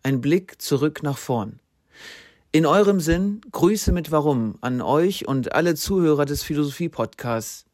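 A man's voice: a little high, lively intonation, measured pace, a little mellow, no echo, studio quality. A man delivering a composed statement as a guest contributor, signing off like a letter.

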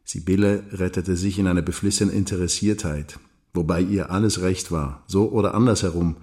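An actor playing a wronged husband, a prosecutor, who recalls his marriage in a radio drama in a measured, brooding way. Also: deep voice, steady tone, dark tone. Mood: wry recollection. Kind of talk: first-person recollection in radio drama.

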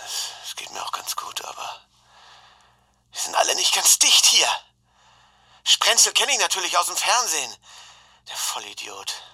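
An actor playing a manic, conspiratorial clinic patient confiding into an audio diary, muttering and bursting out, with a husky voice. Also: male voice, on the low side, bright, forceful tone, heavily acted. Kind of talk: confessional audio diary acting.

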